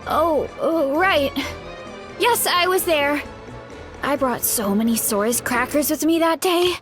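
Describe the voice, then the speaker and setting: Laid-back hungry young female warrior, high bright voice, giggly, faint game music. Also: takes it slowly. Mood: easygoing. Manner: easygoing, giggly, a little absent-minded. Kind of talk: game voice acting.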